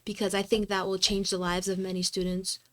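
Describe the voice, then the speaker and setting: A teenage girl, a student guest, speaks with earnest conviction. She builds her point through repeated lists. Her voice sits at a middle pitch. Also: little pitch movement, fairly quick, slight background noise. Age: teens.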